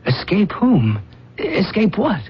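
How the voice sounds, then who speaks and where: A man's voice: sits high, wildly swooping pitch, takes it slowly, husky, heavily acted. A man playing a concerned, incredulous friend, questioning insistently in an old radio drama. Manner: probing, insistent. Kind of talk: 1970s-80s radio drama acting. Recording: dull lo-fi radio recording.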